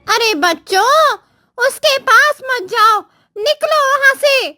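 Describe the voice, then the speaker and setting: Woman putting on a very high, scornful villager. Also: lively intonation, slow pace, bright, forceful tone, dry recording, faint music behind, heavily acted. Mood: alarmed, bossy. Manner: mocking, scolding. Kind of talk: cartoon moral story voice acting.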